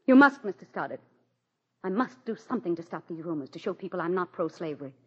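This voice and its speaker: A muffled 1940s radio drama recording of a proud, embattled president's wife. Her voice is husky, with a formal and emphatic theatrical delivery that carries an anxious edge. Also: on the low side, lively intonation, fast talker, a little mellow. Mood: urgent.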